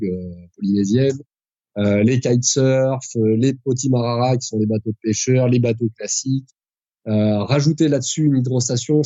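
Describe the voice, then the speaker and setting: A man's voice: mid-range voice, dark tone, no echo, muffled recording. A man in his forties, a pilot guest on a podcast, explaining at length with frequent hesitations and restarts. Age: 40s. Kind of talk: conversational podcast interview.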